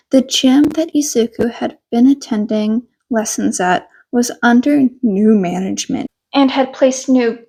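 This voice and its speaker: Woman reading a story out loud, high voice, really unhurried, amateur audiobook. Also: breathy edge, poor audio. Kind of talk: scripted story narration.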